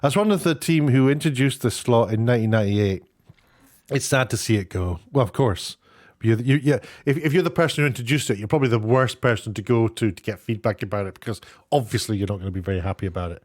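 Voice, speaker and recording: A man giving casual, off-the-cuff commentary in a halting, rambling way, often breaking off to rephrase. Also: mid-range voice, a little mellow, dry recording, clean studio sound. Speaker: online commentator, former broadcast worker.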